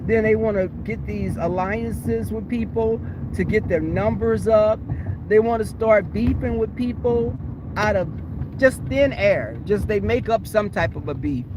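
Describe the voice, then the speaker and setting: A woman vlogging in a car, talking in a blunt, sassy, opinionated way with a voice that is going hoarse. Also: mid-range voice, measured pace. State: losing her voice. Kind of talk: casual chat. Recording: noisy car interior.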